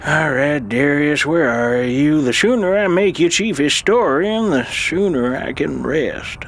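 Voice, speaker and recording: A man's voice: on the high side, lively intonation, a little slow, a bit bright, slight background noise. A man playing a cranky, tired old alien uncle, a sardonic, grumbling chief historian in an audio drama. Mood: grumbling, weary.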